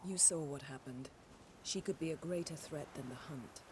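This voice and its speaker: A woman's voice: sits low, normal speed, darkish tone, a little hiss or hum, close to an everyday voice. An actress playing a shrewd, commanding sorceress in a fantasy game, poised and formal in her speech. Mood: grave. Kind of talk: video game voice acting.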